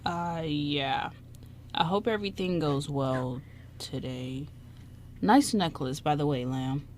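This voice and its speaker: A woman's voice: low voice, takes it slowly, darkish tone, a little hiss or hum. A woman playing an anxious, awkward, earnest young man in an amateur radio play, easily flustered. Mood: nervous.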